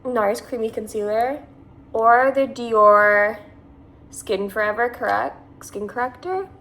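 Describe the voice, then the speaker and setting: Woman, 20s, Californian accent, highish voice, casual rambling vlogger. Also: takes it slowly, fairly bright tone. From Massachusetts, USA. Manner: chatty and rambling, lots of "like". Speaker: beauty vlogger. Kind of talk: casual makeup tutorial.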